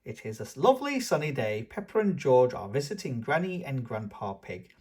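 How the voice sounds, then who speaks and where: A man's voice: mid-range voice, very animated, moderate pace, darkish tone. A man hosting a children's read-aloud, narrating a storybook in an enthusiastic manner. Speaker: children's book reader and channel host.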